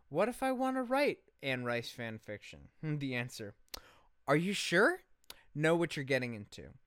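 A man's voice: medium pitch, fairly bright tone, clean tone, dry recording, studio quality. A man co-hosting a podcast, telling a story in casual commentary with an emphatic, sarcastic manner and casual swearing. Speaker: podcast co-host.

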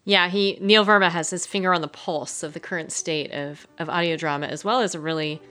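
A woman's voice: fairly low pitch, brisk, fairly bright tone. A woman co-hosting a podcast, talking in a conversational introductory style. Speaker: podcast co-host.

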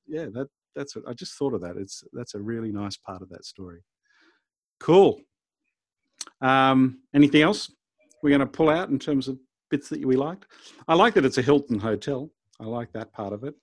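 A man's voice: mid-range voice, dry recording. A man co-hosting a podcast, thinking aloud in a casual, conversational discussion about storytelling. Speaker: podcast co-host.

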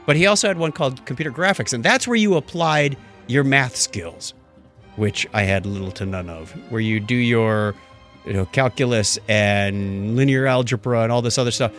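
A middle-aged or older man hosting a casual tech talk show, chatting conversationally and thinking out loud as he goes. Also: medium pitch, normal speed, faint music behind. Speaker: podcast host.

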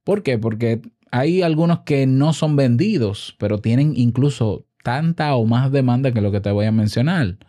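A man's voice: medium pitch, moderate pace, warm tone. A man with a Dominican accent giving an informal explainer as an online host, teaching by asking questions and answering them himself. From Dominican Republic. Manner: didactic, asks and answers own questions. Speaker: online business content creator and host.

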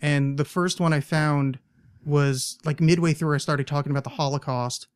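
A man's voice: fairly high pitch, fairly even intonation, normal speed, clear, resonant tone, no echo. A man hosting a podcast in a small studio, chatting casually in a rambling, self-deprecating way. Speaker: podcast host. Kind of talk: casual chat.

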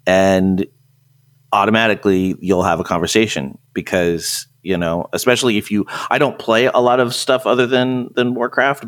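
Lowish-voiced man in dry, close sound. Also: fairly bright tone, no echo. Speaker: podcast speaker. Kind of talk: casual chat about gaming.

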